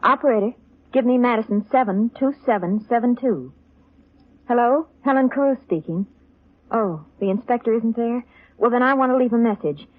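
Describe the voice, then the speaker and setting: Lady playing worried headstrong young woman, old time radio mystery, kinda high voice, tinny phone-like audio. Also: moderate pace, lightly acted. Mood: urgent. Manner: earnest, questioning. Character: earnest, questioning young woman, anxious and headstrong. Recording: tinny, narrow-band old radio recording.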